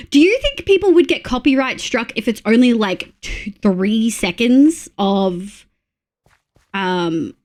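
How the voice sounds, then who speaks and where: A woman streamer from Australia chatting casually during a game livestream, congested with a stuffy nose, excitable and full of fillers. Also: a little high. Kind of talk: casual livestream chat while playing.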